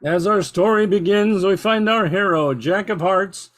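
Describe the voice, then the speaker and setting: A man's voice: high voice, measured pace, over loud music. An older man playing an intense, straightforward announcer in an old-time radio play, weighing every word. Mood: emphatic. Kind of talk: 1940s radio acting.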